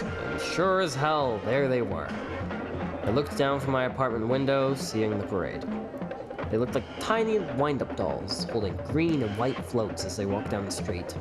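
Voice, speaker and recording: Audio drama lead: sardonic, weary showman played by a man, lower-voiced, theatrical first-person narration over music. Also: close to an everyday voice. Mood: grumbling, weary. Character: wry, weary glamorous performer narrating his own story. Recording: music behind the voice.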